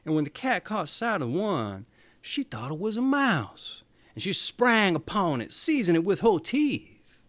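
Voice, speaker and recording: A male storyteller reads a storybook in a theatrical style. His voice sits a little high for a man and moves through a very lively range of pitch. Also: unhurried. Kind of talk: expressive storybook reading.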